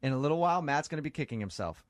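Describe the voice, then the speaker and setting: A man's voice: on the high side, lively intonation, racing, dry recording, studio quality. A man hosting a radio show, bantering with callers in a teasing, playfully goading way. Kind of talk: radio prank-call banter. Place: radio studio.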